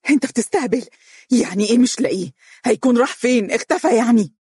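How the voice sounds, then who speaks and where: A narrator shouting as a shrill, hysterical Egyptian woman. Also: expressive, fast talker, slightly rough, no echo. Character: hysterical young woman facing prison.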